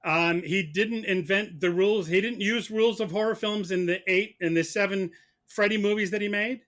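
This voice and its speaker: A man playing prosecutor in a mock trial, arguing in a sarcastic, halting, conversational way. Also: high voice, fairly bright tone. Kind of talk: mock courtroom argument, conversational.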